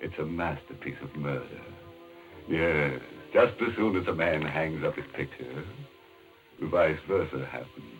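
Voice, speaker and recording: Deep, theatrical, mock-ghoulish radio host. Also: male voice, a little slow. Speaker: horror anthology host. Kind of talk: macabre, jokey 1940s radio hosting. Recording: muffled vintage radio, faint music behind.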